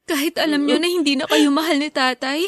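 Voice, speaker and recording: A woman's voice: high-pitched, fairly even intonation, fairly quick, no echo, studio quality, lightly acted. A woman with a Filipino accent playing a worried young daughter, a student, in a radio drama, earnest and questioning. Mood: hurt, reproachful.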